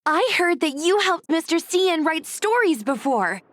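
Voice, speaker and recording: A woman's voice: extremely high pitch, sing-song, a little slow, fairly bright tone, no echo, heavily acted. A woman voicing a bossy, enthusiastic young ghost hunter girl in an anime-style video game. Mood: pushy, upbeat. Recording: dry voice with faint music.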